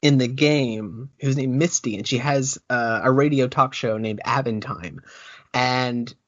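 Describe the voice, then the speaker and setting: A man's voice: medium pitch, fairly even intonation, unhurried, no room sound. A man hosting an interview podcast, eager and stumbling, often repeating words as he talks. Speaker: podcast host. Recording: dull, dry recording.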